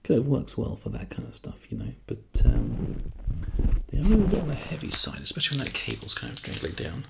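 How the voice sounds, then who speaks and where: A man speaks softly and casually, as a reassuring personal shopper in an ASMR role play. His voice is full of heavy creak and moves around a lot in pitch. It is recorded close on a binaural mic, with clear noise behind it. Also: wildly swooping pitch, brisk. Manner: casual, reassuring, hesitant and chatty. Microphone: binaural microphone, close.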